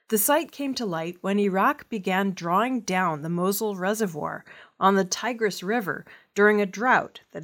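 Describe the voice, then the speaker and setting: A woman news reader delivering scripted news narration. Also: mid-range voice.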